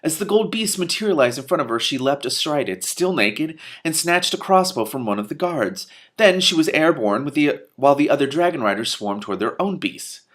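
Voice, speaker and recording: A man's voice: a little high, animated, fairly quick, hardly any pauses, fairly bright tone. A man reading a fantasy novel aloud, breaking in with amused asides. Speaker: reader and commentator.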